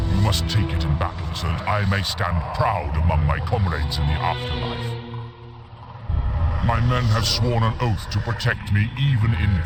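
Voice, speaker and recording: An actor playing a solemn, commanding otherworldly warlord in a video game, speaking in a formal, portentous manner. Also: male voice, low-pitched. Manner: slow, portentous, formal delivery. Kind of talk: video game cutscene acting. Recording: loud orchestral music behind.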